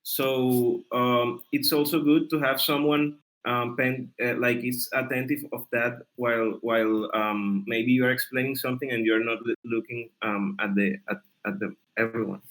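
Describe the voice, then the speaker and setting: A man who is a non-native English speaker, making suggestions haltingly in a casual online meeting. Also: mid-range voice, steady tone, a bit bright, poor audio. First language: not English. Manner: hesitant, halting. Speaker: working group participant. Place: online group call.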